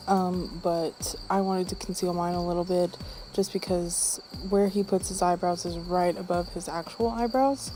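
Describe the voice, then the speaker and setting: An unwell woman with throat trouble giving a casual makeup tutorial voice-over in a low-energy, subdued manner. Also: a little mellow, slight background noise, rough recording. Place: voice-over recorded apart from the footage.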